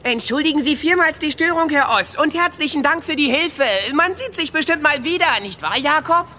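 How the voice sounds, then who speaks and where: A woman's voice: high voice, lively intonation, fairly quick, sharp tone, slight background noise. A woman playing a small, eager tomcat in an audio drama, courteous, earnest and a little grandiose.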